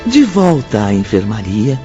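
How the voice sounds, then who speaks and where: A man's voice: fairly low pitch, wildly swooping pitch, a little slow, mellow. A man reading scripted narration for a radio drama. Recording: muffled audio with music bed.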